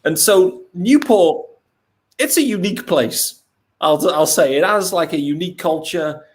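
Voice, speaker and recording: A man talking as a podcast guest, upbeat and chatty, with a slightly higher voice than most men. He tosses off quick, cheerful asides in a relaxed conversation. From Wales. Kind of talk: casual chat.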